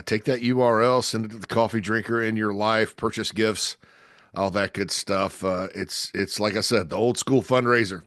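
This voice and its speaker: A low-pitched man with a drawl talks his way through a solo podcast, stuffy from a cold. He rambles, restarting sentences and leaning on fillers. The sound is dry and close, with no room around it. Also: fairly bright tone, no room sound. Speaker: podcast host. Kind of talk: casual solo podcast talk.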